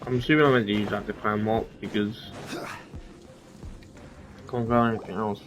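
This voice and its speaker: A man's voice: fairly low pitch, fairly even intonation, normal speed. A man streaming a game, thinking aloud in a hesitant, muttering way as casual commentary. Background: game music.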